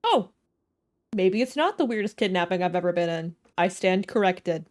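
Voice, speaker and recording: A woman's voice: lowish voice, animated, normal speed, darkish tone. A woman chatting casually and reacting aloud as she streams a game. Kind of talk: casual live gameplay commentary. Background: game music.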